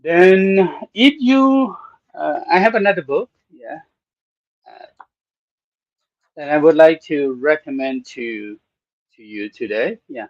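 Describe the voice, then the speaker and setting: Thai-accented male podcast host, slow and halting, dry, slightly dull sound. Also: fairly high pitch, lively intonation, no echo. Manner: slow, halting with many "uh" pauses. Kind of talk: unscripted podcast talk.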